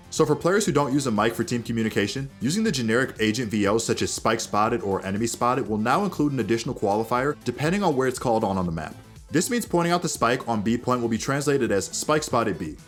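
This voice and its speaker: Guy reading gaming news like a host, talks really fast, bit of music behind him. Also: medium pitch. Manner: addresses viewers directly, presenter-like. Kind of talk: upbeat scripted gaming news narration.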